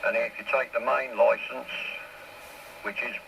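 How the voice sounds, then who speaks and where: A man's voice: medium pitch, steady tone, unhurried, vocal fry. A man chatting casually over a two-way radio, explaining things step by step in a plain-spoken way. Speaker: amateur radio operator. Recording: noisy two-way CB radio transmission.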